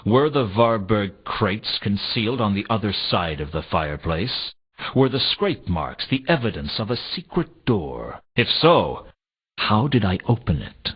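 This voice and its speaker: Wry, world-weary noir gumshoe for a video game: deadpan but widely swooping delivery, slightly dull compressed audio. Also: male voice, lowish voice, husky, full character voice. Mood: dry musing. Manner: deadpan, sardonic, measured musing. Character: weary, wry male private detective in noir fantasy. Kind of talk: scripted noir first-person narration for a video game.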